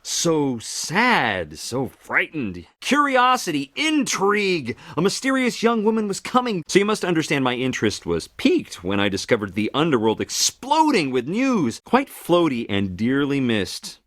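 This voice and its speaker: Gossipy male trickster god, theatrical showman, flippant, highly animated pitch, dry sound, video game character. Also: a little slow, hardly any pauses, dry recording, exaggerated voice. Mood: dramatic, wistful. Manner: flippant, showman-like, breaking the fourth wall. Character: flamboyant gossipy male Greek messenger god, cheerful liar. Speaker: guide to the underworld. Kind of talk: theatrical monologue to an audience.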